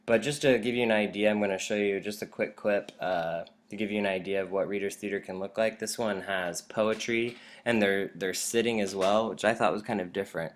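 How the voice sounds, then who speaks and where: A man's voice: lowish voice, fairly even intonation, brisk, hardly any pauses. A man who is a teacher, giving an informal instructional presentation in a conversational, explanatory way.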